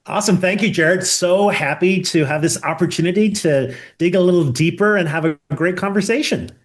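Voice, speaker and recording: A middle-aged man with a Northern Irish accent, talking reflectively as an interview guest in a measured, halting way. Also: sits high. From Northern Ireland. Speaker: podcast guest, conflict resolution expert.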